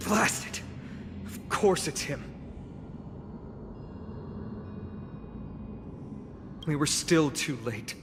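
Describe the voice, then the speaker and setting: Solemn warrior commander, reverberant. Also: male voice, a little high, very animated, noisy background. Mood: bitter. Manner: measured, solemn, formal. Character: resolute warrior lord, grave commander, burdened avenger. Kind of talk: video game voice acting. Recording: long reverb, music under the voice.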